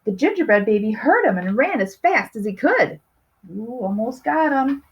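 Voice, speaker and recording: A woman's voice: on the high side, big pitch swings, normal speed, noisy background. A woman reading a picture book aloud to young children, expressively stretching key words and putting questions to her listeners. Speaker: storybook reader.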